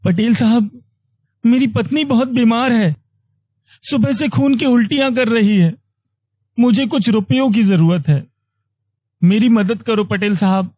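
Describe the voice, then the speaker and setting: A man's voice: extremely high pitch, a little slow, long pauses, darkish tone, no echo. A man voicing a poor, desperate villager in a cartoon moral story, begging humbly and deferentially. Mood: desperate pleading. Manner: pleading, deferential.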